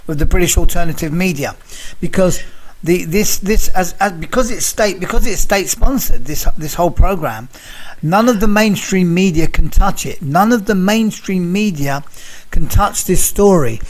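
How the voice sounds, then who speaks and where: A man of about sixty with a high-pitched voice talks as a guest in a casual interview. He circles back over his phrases and keeps naming the host as he goes. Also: expressive, moderate pace, hardly any pauses. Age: 60s. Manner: talks over others, repeats phrases, addresses host by name.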